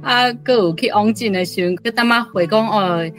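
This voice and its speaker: A woman's voice: medium pitch, normal speed, faint music behind. A woman speaking as a guest commentator, sharing informal reflections in a conversational way. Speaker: guest commentator, book club member.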